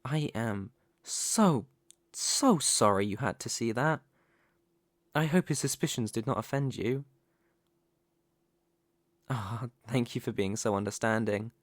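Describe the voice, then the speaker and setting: A man's voice: medium pitch, no room sound, clean studio sound, close to an everyday voice. A man playing a young mafia heir in a scripted audio roleplay, with polite, formal manners over cunning menace. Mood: courteous, gracious. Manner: measured, courteous, formal delivery.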